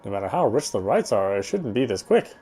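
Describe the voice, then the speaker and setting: A man's voice: on the low side, very animated, fairly quick, dark tone, slightly rough. A man reading visual novel narration aloud as he plays. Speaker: let's player reading a game aloud.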